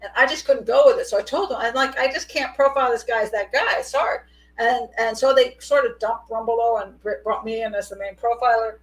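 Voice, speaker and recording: Middle-aged woman, fairly high voice, chatty host, laughs a lot, casual call-in talk. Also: brisk, a little hiss or hum, low-quality recording. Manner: chatty, laughs often, animated. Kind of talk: casual live call-in chat.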